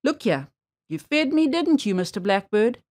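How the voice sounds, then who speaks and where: A sly, hungry he-fox from a children's folktale, played by a woman reader. He wheedles and demands in insistent questions, with a lively, swinging melody to the voice. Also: a little high, normal speed. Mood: smug, insistent.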